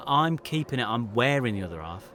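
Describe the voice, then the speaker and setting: A mid-pitched, very expressive voice: a young British actor down on his luck in an audio play. He is self-deprecating and insecure, talking naturally with small hesitations. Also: fairly quick. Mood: admiring. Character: struggling, self-deprecating jobbing actor John, frustrated and insecure. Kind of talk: naturalistic audio drama dialogue.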